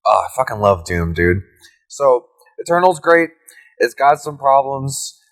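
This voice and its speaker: A man's voice: mid-range voice, sing-song, measured pace. A young man co-hosting a podcast, chatting casually with crude jokes and frequent swearing. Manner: joking and crude. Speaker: podcast co-host. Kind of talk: casual chat.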